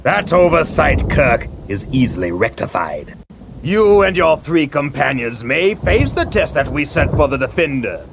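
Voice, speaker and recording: An actor playing a haughty, menacing alien warrior commander who presides as judge in a video game, declaiming and gloating. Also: male voice, a little high, big pitch swings, a little slow, creaky, exaggerated voice. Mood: indignant, menacing. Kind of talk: dramatic video game voice acting. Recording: noisy early-90s game audio.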